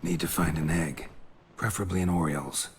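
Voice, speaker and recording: A man playing a stoic, weary monster hunter in a video game, gravelly and terse, with a deadpan, clipped delivery. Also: low-pitched, moderate pace, slight background noise, lightly acted. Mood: grave, matter-of-fact. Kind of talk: video game cutscene acting. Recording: background music under the voice.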